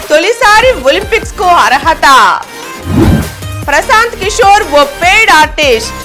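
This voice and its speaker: A woman reads a formal news script. Her voice is high-pitched and animated, with emphatic phrasing. A little music plays behind her. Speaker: news reader. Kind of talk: scripted news bulletin reading.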